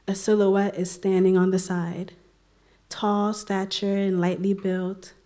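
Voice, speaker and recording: A woman reading her own poem at an open mic, soft, unhurried and emotional. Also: mid-range voice. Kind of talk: spoken word poetry reading. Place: community center event.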